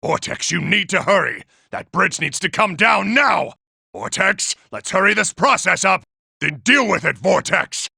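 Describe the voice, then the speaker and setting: A giant war robot from a video game, a male military tactician used to command. The voice is heavily gravelly and rasping, with a hard, cutting edge. He is impatient and forceful, and his pitch moves widely. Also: big pitch swings, a little slow, dry recording, clean studio sound, full character voice. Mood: angry, urgent. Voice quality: gravelly, raspy. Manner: forceful, barking orders. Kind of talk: video game character acting.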